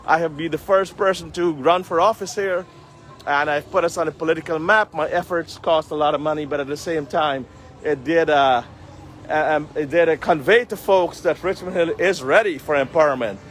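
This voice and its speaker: A man's voice: fairly high pitch, normal speed, soft background music. A man live-streaming as a community leader, addressing viewers in earnest, formal public-speaker phrasing. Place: outdoors on a city street. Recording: outdoor street noise, passing vehicles. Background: street ambience and traffic.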